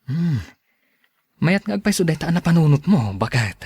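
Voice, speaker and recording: A man's voice: on the high side, sing-song, normal speed, a little mellow, husky, dry recording, heavily acted. A man with an Ilocano accent acting an evasive, defensive husband in a radio drama. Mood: coaxing. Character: evasive cheating husband, defensive, then pleading.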